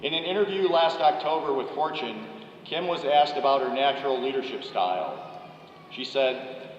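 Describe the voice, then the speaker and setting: A man, a college dean, reading a measured, formal introduction of a guest speaker from a podium microphone in a large ceremony hall. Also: medium pitch, lively intonation, slight background noise. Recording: echoey, dull hall recording.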